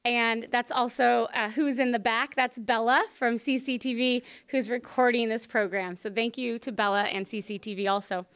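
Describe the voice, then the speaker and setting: A woman hosts an event in a meeting room, introducing a speaker from partly read notes. Her voice is high-pitched, clean and smooth. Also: flat delivery, normal speed, hardly any pauses, a bit bright. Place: meeting room indoors with audience.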